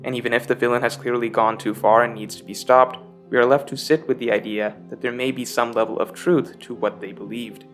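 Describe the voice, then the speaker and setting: Male video essay narrator, mid-pitched, measured and emphatic, scripted analysis with faint guitar music behind. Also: steady tone.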